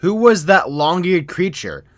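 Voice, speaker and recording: A young adult man reading a scripted creepypasta in a measured, serious storytelling manner. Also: high-pitched, very animated. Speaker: narrator.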